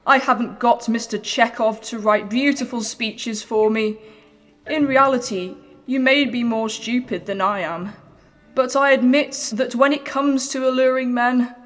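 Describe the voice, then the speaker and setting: Pleading, aggrieved young wife, highish voice. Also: female voice, a bit bright. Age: young adult. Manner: earnest, plaintive, dramatic. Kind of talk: audio drama acting. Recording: reverberant hall-like room.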